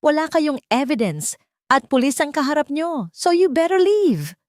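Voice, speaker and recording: A woman's voice: high-pitched, very animated, moderate pace, a little mellow, dry recording, studio quality, pitched above the performer's own voice. Woman doing a nasty rich wife villain, taunting and bossy, audio story. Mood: defiant, shouting. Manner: taunting, commanding. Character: scornful, menacing young wife and rich heiress villain.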